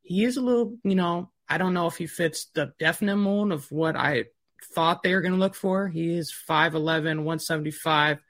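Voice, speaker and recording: A man's voice: a little high, normal speed, a bit bright, no room sound, studio quality. A man with a Midwestern accent, a recruiting reporter chatting casually, with lots of "you know" fillers. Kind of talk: casual sports talk.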